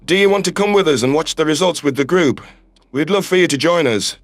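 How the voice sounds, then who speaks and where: A man's voice: fairly high pitch, expressive, fairly quick, dry recording, pitched below the performer's own voice, lightly acted. A man voicing a devoted, reassuring human astronaut in love in an audio story. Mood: inviting. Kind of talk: audio story character dialogue.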